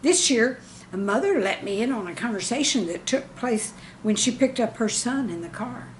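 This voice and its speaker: A woman, a teacher, reading her own scripted humorous anecdotes in a deliberate storytelling manner. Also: on the high side, animated, a little hiss or hum, rough recording.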